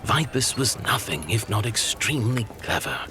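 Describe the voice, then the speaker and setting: Portentous chronicler for fantasy radio drama, fairly deep, formal, with very varied intonation. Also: male voice, big pitch swings, moderate pace, over music. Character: grave storyteller recounting ancient legend. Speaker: narrator. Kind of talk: fantasy audio drama narration. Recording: noisy atmospheric background bed.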